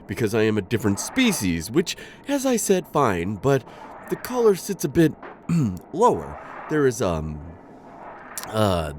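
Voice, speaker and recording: A man's voice: lowish voice, darkish tone, slight background noise, heavily acted. A man playing an awkward male insectoid bounty hunter in an ASMR roleplay, talking in a formal, flustered, rambling way. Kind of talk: ASMR roleplay audio drama.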